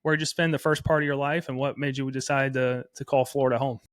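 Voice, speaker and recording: A man's voice: mid-range voice, steady tone, quick pace, no room sound, studio quality. A man hosting a podcast, asking loose, rambling questions with fillers. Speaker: podcast host. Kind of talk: interview questions.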